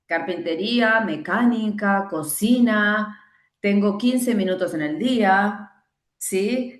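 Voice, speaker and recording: A woman's voice: medium pitch, echoey room. A middle-aged woman from Argentina with an Argentine accent, leading a training talk and emphatically acting out imagined excuses and prayers. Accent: Argentine Spanish. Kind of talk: teaching conversation.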